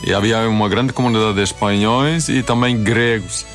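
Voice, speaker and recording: A middle-aged man from Finland, a Swedish speaker, answering haltingly as an interview guest in casual conversation. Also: lowish voice, fairly even intonation, a bit bright, faint music behind. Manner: halting, searching for words in a second language.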